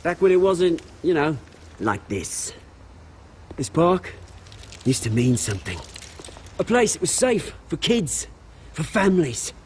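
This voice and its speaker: A man's voice: a little high, very animated, unhurried, long pauses, slight background noise. An actor playing a volatile, down-on-his-luck British rogue in a video game, theatrical, cocky and bitter. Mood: wistful, bitter. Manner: theatrical, swinging from chummy banter to shouting.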